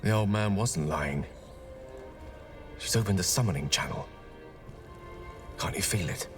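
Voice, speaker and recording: A man's voice: low-pitched, expressive, unhurried, soft background music. A man playing a proud, witty wizard in a video game, eloquent, theatrical and wry, nursing old heartbreak. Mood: earnest resolve. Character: proud, witty male wizard, wounded ex-lover of a goddess. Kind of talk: dramatic video game dialogue.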